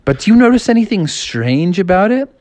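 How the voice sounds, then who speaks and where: A man voicing Froggy, an excitable young frog boy who talks in an eager, insistent way. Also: sits high, fairly quick, voice pushed up.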